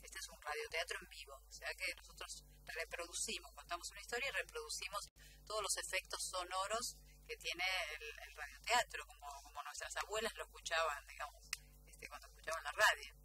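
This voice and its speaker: Radio guest: woman, middle-aged, Rioplatense Argentine accent, animated melody, conversational, noticeable background noise. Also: medium pitch, a little fast. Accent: Rioplatense Argentine Spanish. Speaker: guest, radio drama actress and announcer. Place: small radio studio. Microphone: studio table microphone. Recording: noisy with audible hum.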